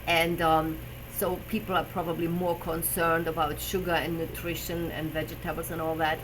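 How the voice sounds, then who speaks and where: A woman's voice: deep voice, level tone, normal speed, background noise. A woman with a German accent, hosting a live stream as a personal trainer, chatting about health with frequent fillers while searching for English words. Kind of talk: casual live stream talk.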